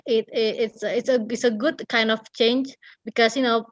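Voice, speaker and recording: A woman with an Indonesian accent telling a story casually, often halting as she searches for words. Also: on the high side, fairly even intonation, no room sound, lo-fi sound. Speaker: writer and guest storyteller. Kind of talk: casual storytelling in an online talk.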